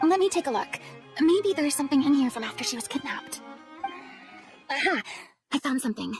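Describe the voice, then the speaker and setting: A woman's voice: high-pitched, lively intonation, brisk, faint music behind. A woman playing a poised, commanding yet compassionate young woman in an anime game, speaking in articulate, theatrical phrases. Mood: intent.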